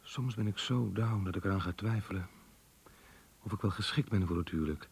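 Casting: weary, self-doubting husband and schoolmaster, radio drama, deep restrained voice. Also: male voice, brisk, vocal fry, husky, full character voice. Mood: self-doubting, remorseful. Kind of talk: Dutch radio play acting and monologue.

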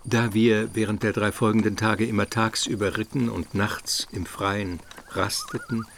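An actor playing a calm chronicler who recounts a journey in measured, literary radio drama narration. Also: male voice, fairly low pitch, gravelly, slight background noise. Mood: calm, descriptive.

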